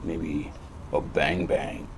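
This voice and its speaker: Over clear background noise comes a man acting as a noir private eye with a very low voice. He is gloomy and world-weary, delivering hard-boiled narration and dry retorts in a brooding deadpan. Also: very slow, exaggerated voice. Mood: sardonic, guarded.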